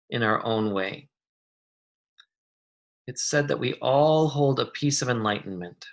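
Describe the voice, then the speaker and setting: A meditation guide speaking gently and soothingly, giving unhurried instructions with long pauses. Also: mid-range voice.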